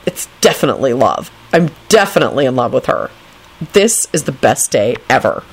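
A woman voicing an excitable, cheeky and lovestruck young man in a story reading, impulsive and gushing. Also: medium pitch, moderate pace, breathy edge, voice pushed down, low-quality recording. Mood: dreamy, smitten.

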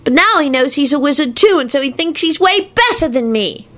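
Woman as a sulky little wizard boy, high-pitched. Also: expressive, brisk, fairly bright tone. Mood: complaining.

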